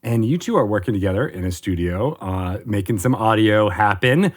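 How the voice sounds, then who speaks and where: A man's voice: fairly low pitch, moderate pace. A man hosting a podcast, interviewing casually with a joking, bantering manner. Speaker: podcast host.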